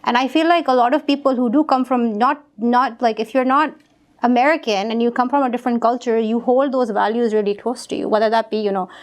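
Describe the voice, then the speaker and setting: A woman's voice: fairly high pitch, steady tone, fairly quick. A young woman from Pakistan, a guest author, talking casually in an interview with many fillers and restarts.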